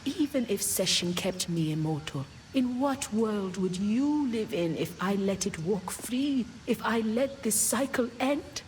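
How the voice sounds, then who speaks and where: An actress playing a weary, motherly immortal mentor in a video game cutscene, grave and tender, at times hushed. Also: medium pitch, expressive, measured pace, hardly any pauses, slight background noise, natural voice. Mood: tender, remorseful.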